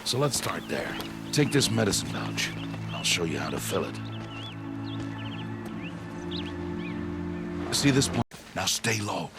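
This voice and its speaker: An actor playing a stern, middle-aged outcast hunter who guides a child with terse commands in a video game cutscene. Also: male voice, on the low side, big pitch swings, normal speed. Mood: firm, instructive. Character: stern gruff middle-aged male outcast hunter, protective mentor to a girl. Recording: loud music under the voice.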